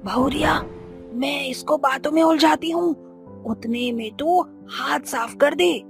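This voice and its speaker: A woman's voice: high-pitched, slow pace, vocal fry, heavily acted. A woman voicing a greedy, cunning village mother-in-law who sells mutton in a cartoon story, sly and wheedling. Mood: scheming. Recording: music behind the voice.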